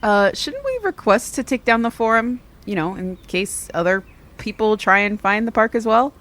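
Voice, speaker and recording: A woman's voice: a little high, lively intonation, moderate pace, fairly bright tone. A woman playing a level-headed, knowledgeable young woman in an audio drama, speaking in a dry, matter-of-fact way. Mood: practical. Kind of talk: audio drama dialogue, conversational.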